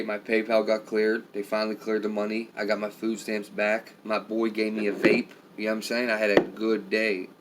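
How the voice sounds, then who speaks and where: Tired raspy guy rambling on a live stream, low voice, faint hum behind him. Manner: rambling, heavy with filler phrases. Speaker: vlogger. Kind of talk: casual talk to viewers on a live stream.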